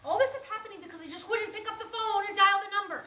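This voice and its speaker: Woman acting a nervous lovelorn mistress, high voice, wildly swinging intonation, flustered, self-scolding, stage monologue. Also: sing-song, measured pace, a bit bright, heavily acted. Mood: awkward, distressed. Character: anxious, clumsy, lovelorn mistress rehearsing a dreaded phone call. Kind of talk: theatrical solo monologue. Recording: echoey room with faint noise.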